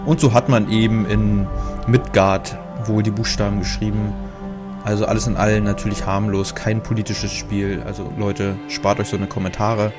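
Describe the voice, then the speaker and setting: A man's voice: on the low side, flat delivery, normal speed. A man from Germany casually commenting on a game he is playing, rambling and breaking off with fillers as he talks through the menus. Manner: chatty, rambling, self-interrupting with fillers. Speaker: let's play host. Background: game music.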